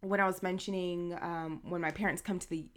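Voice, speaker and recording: A woman's voice: lowish voice, fairly even intonation, fairly bright tone. A young woman co-hosting a podcast, talking in a reflective, agreeable casual chat. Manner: reflective, agreeable, quick to laugh. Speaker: podcast co-host.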